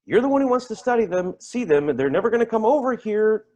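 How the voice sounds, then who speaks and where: An awkward, defensive man, played by an actor in a stage drama. His voice is on the high side and jumps around a lot, with halting, stammered phrasing. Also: very animated, a little mellow. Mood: defensive, needling. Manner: halting, stammering, repeats himself. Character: tactless, defensive man, rambling and awkward, named Timothy. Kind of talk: naturalistic staged play reading.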